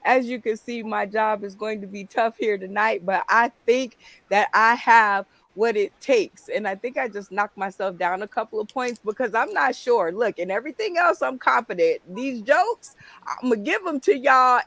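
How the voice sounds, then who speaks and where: A woman's voice: a little high, moderate pace, fairly bright tone, no echo. A middle-aged woman hosting a comedy panel, playful and teasing, laughing often. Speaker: host.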